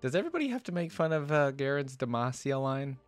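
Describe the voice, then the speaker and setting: A man's voice: mid-range voice, very animated, quick pace. A man hosting a reaction video with casual, informal commentary, thinking aloud as he goes. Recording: dry close voice, music behind.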